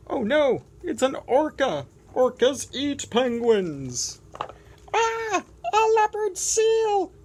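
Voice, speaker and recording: Lady putting on a complainy little penguin, whiny, pitch jumping all over, kinda slow. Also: huge pitch swings, gravelly, slight background noise, heavily acted. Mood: panicked. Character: grumpy whiny young penguin. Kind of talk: picture book read-aloud.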